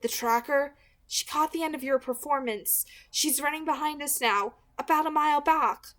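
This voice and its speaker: A woman voicing a calm, protective young female vampire in an audiobook, reassuring and explanatory. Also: high-pitched, normal speed, voice pushed up. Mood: urgent, reassuring.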